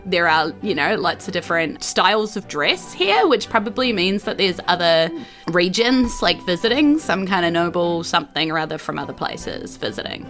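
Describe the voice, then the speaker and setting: A woman with a New Zealand accent hosting and narrating a tabletop game in an informal, animated storytelling manner. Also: lowish voice, normal speed, soft background music. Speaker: game master and host.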